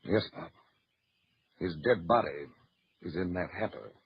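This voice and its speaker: Resolute radio-serial hero. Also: male voice, deep voice, animated, a little slow, creaky, husky, dry recording, exaggerated voice. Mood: grave. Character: resolute, grave adventurer uncle. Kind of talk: 1940s radio drama acting. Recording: muffled, hissy old radio recording.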